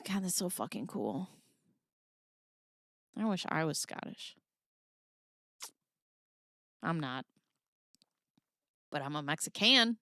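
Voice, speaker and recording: A woman's voice: low voice, lively intonation, slow, pauses often, bright, forceful tone, no echo, studio quality. A young woman with a Midwestern accent hosting a casual livestream, reading her chat aloud, laughing often and swearing freely. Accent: Midwestern American. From American Midwest.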